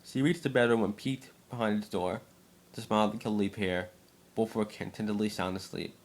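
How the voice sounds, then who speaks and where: Male amateur narrator, slightly low voice, occasional stumbles over words. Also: steady tone, brisk. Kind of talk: dramatic story reading by an amateur.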